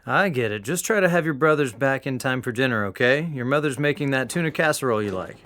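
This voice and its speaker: A man's voice: medium pitch, expressive, quick pace, a bit bright, no room sound, studio quality, close to an everyday voice. A man playing an earnest, fatherly middle-aged dad in an audio drama. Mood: easygoing.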